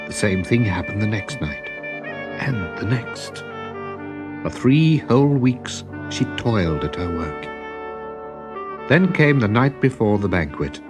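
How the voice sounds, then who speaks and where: A man narrating a children's story in a dramatic, deliberate way, with expressive swells and hushes. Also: deep voice. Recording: music behind the voice.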